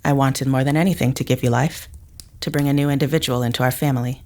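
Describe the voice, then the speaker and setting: A woman plays a psychic mother with a low voice. She is rational and emotionally restrained, choosing formal, precise words in a measured manner, as in a science fiction audio drama. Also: fairly even intonation, darkish tone. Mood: calm, guarded.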